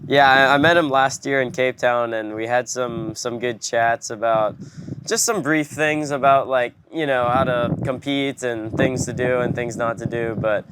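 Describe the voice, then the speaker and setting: A man's voice: medium pitch, fairly even intonation, moderate pace. A young man from Hawaii answering interview questions in an easygoing way, with lots of "like" and "you know". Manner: laid-back, many fillers like "you know" and "like". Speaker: guest. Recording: noisy background.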